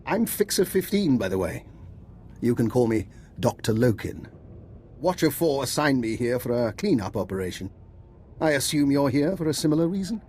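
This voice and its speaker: An actor playing an unflappable, chatty doctor working as a spy in a video game, precise and breezy. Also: male voice, mid-range voice, big pitch swings, normal speed, darkish tone, slight background noise, close to an everyday voice. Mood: breezy, unconcerned. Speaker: intelligence scientist.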